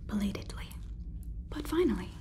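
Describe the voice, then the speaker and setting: A woman in her twenties doing a whispered ASMR role-play as a polite, curious AI robot overlord, speaking softly and haltingly close to the microphone. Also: mid-range voice, very animated. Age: 20s. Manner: soft, halting, deliberate whisper. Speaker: ASMR performer. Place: small room indoors, close up. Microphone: close sensitive microphone.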